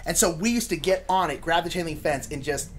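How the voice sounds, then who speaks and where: A young American man telling a personal story casually, in an animated, informal way with joking asides. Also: on the high side, a little fast, breathy edge. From Sacramento, California. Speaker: storyteller, show host.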